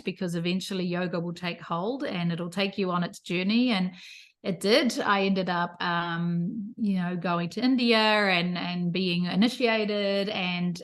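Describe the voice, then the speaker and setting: A woman's voice: lowish voice, fairly bright tone. A woman in her fifties, originally from the Netherlands, who teaches yoga and talks in a reflective, easygoing way as an interview guest. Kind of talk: casual interview chat.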